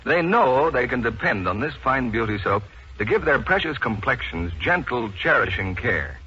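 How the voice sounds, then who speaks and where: Male vintage ad announcer, crisp forceful tone, polished pitch, faintly noisy old recording. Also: big pitch swings. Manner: polished, deliberate sales delivery. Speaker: radio announcer. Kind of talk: 1940s scripted radio advertisement. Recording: muffled, noisy 1940s radio recording.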